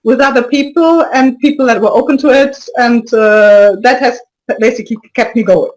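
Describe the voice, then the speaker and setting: A woman guest in an interview speaks in a slightly high voice, halting and full of restarts. She slips small soft laughs between her thoughts and keeps a casual tone. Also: poor audio. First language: not French. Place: lives in Brussels area.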